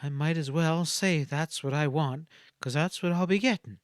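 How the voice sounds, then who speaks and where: A man's voice: on the high side, normal speed, no room sound, clean studio sound, close to an everyday voice. A man voicing a plucky, teasing young frontier woman, plain-spoken, in a Western audiobook.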